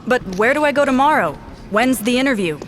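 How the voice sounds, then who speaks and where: An actress playing a blunt, distrustful special agent in a noir audio drama, narrating and confronting in terse lines. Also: female voice, high voice, lively intonation, brisk, a little hiss or hum. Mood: questioning, surprised. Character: hard-boiled, distrustful female special agent. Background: background music.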